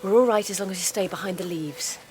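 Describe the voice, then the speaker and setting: An actress in a radio drama playing a bold, practical, sensual young rebel with a frank, wry manner. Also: female voice, mid-range voice, quick pace, darkish tone, soft background music, natural voice. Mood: intimate, teasing. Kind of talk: naturalistic radio drama acting.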